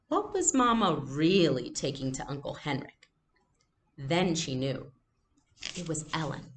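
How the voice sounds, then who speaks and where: A woman reading a children's novel aloud as a teacher would, with expressive narration. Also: low voice, slight background noise.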